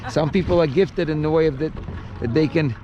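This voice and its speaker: A man's voice: fairly high pitch, dull audio, crowd noise. A man with a Russian accent touched by Brooklyn, chatting casually outdoors on the water, thoughtful, with frequent restarts. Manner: chatty, restarts often, speaks slowly and thoughtfully. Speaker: vlogger on a paddleboard. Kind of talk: casual chat.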